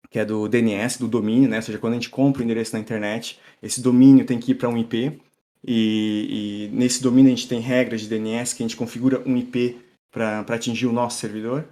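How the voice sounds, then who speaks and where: A middle-aged man from São Paulo with an accent mixing Brazilian and European Portuguese, giving a relaxed live-coding talk full of fillers and restarts. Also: mid-range voice. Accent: mixed Brazilian and European Portuguese. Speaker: tech meetup speaker.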